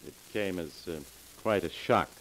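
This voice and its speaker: A man's voice: low voice, a little slow, fairly bright tone. An actor playing a courteous, hesitant, deferential assistant to a businessman in a 1940s radio drama. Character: deferential, soft-spoken male assistant to a businessman. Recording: hissy crackling 1940s radio recording.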